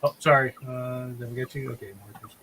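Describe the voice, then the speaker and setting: A man's voice: mid-range voice, level tone, brisk, a bit bright. Man hosting casually, slightly noisy recording. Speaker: host moderating a drawing show.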